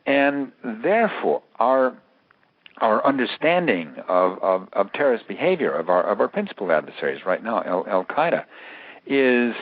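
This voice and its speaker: A man giving measured expert explanations as an interview guest, deliberate and halting, laying out points in short phrases. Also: lowish voice, very animated, no room sound. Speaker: interview guest, author and terrorism analyst. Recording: thin, dry phone-line sound.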